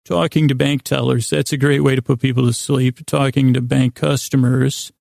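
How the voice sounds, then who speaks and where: Male bedtime podcast host: gravelly and dry-sounding, halting, goofy, meandering improvised monologue. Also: medium pitch, flat delivery, darkish tone, dry recording. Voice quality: creaky, gravelly.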